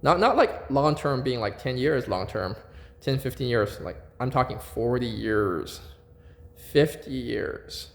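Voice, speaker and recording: A man in his forties talking casually to his viewers, animated and repeating words, with frequent fillers and tag questions. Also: medium pitch, vocal fry. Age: 40s. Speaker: vlogger. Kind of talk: casual monologue to camera. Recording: echoey room.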